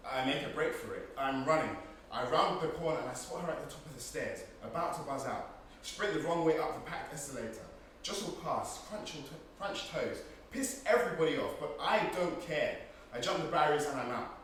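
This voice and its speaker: A man's voice: on the high side, expressive, fairly quick, hardly any pauses, fairly bright tone. An actor playing an intense, restless young man, wry and poetic, in a theatrical monologue. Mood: urgent, excited. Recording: echoey room, far mic, noisy.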